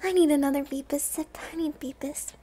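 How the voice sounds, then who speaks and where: A woman's voice: sits high, fairly even intonation, brisk, mellow. A woman streamer giving casual, playful and self-deprecating commentary while she plays a video game.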